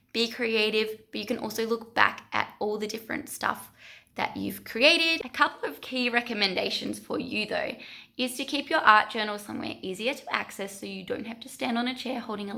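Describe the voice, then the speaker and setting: A woman, chatting casually and self-mockingly as an art vlogger while going a little hoarse. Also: on the high side, pushed and bright. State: losing her voice. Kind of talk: casual vlog commentary.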